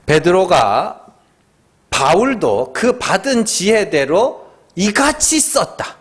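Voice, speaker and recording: Animated high-voiced man from Gyeongsang preaching. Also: slow. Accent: Gyeongsang Korean. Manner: conversational preaching with rhetorical questions and prompts; emphatic, swooping delivery. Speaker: pastor. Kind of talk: Friday prayer meeting sermon.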